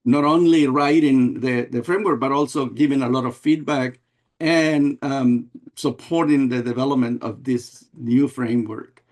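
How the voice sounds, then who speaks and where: An older man from America, giving an unhurried webinar presentation over a video call and often restarting his phrases. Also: medium pitch, steady tone, darkish tone. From Guatemala and El Salvador. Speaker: guest presenter, math educator.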